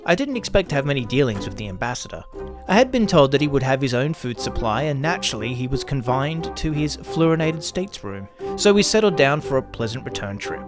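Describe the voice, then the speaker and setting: A man's voice: medium pitch, sing-song, brisk. A man reading a scripted first-person pulp science fiction story as narrator. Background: faint music.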